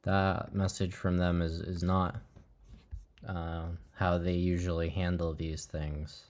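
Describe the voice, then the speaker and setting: Male livestream host, lower-pitched voice, informal live commentary, measured and a little halting. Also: level tone, darkish tone.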